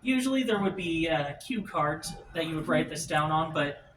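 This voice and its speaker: Male game master, casual rules talk, high voice, roomy distant recording with faint noise. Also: animated, moderate pace, a bit bright. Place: indoor room, group game table.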